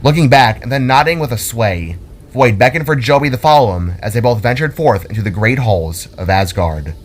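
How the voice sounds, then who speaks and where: A man reading scripted fantasy story narration in a dramatic, deliberate storytelling manner. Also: mid-range voice, animated. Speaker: author and narrator. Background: music.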